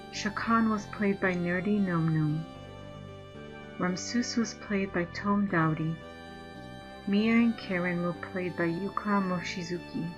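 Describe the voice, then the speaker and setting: A woman reading out the credits of a fan radio play in a slow, deliberate manner. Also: fairly low pitch. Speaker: credits reader. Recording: dull lo-fi recording with music.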